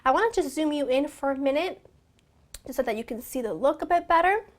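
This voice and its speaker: A woman in her thirties chats her way through a beauty tutorial from a small room at home. Her voice sits a little high and carries a slight rasp from a lingering cold. She talks close to the camera in an easy, gushing way. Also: animated, a little fast. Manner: chatty, gushing, warm address to viewers. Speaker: beauty vlogger. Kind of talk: casual makeup tutorial.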